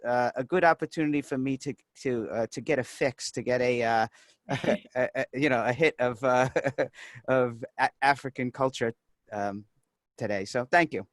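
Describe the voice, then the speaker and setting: Slow, hesitant man on a dry video call. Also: mid-range voice, dry recording. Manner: hesitant, halting, with laughs and fillers. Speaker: guest. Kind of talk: casual video call chat.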